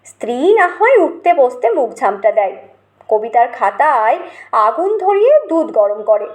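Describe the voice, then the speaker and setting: A woman reading a comic story as narrator, in an expressive, deliberate storytelling manner. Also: high voice.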